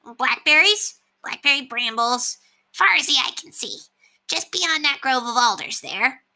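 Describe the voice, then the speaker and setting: A woman's voice: sits high, lively intonation, a little slow, pushed and bright, voice pushed up, heavily acted. A woman voicing a winded, squeamish little male rat scout in short, fretful phrases for a storybook. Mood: creeped out, winded. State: out of breath.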